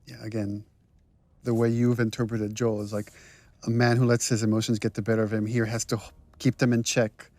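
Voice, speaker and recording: A man, a game director, explaining his creative choices in a thoughtful, measured commentary conversation. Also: lowish voice, little pitch movement, a little mellow, dry recording.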